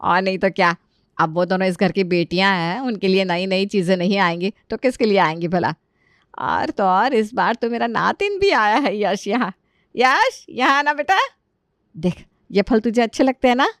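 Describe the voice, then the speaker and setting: A woman's voice: medium pitch, moderate pace, no room sound, clean studio sound, lightly acted. A woman playing an older, doting mother-in-law in a family story, fussing and emphatic. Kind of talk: acted family storytelling dialogue.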